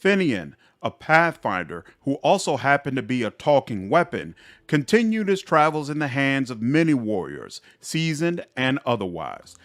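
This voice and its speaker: A man narrating fantasy game epilogues in a measured, expressive storybook style. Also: medium pitch, no echo. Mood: solemn storytelling. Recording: music under the voice.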